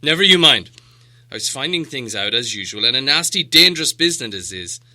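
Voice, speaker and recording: Man acting out a stern, forceful old storybook wizard. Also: mid-range voice, very animated, brisk, voice pushed up, exaggerated voice. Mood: testy, grave. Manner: emphatic, grim, self-assured. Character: gruff old male wizard, stern and impatient. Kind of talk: storybook character voice.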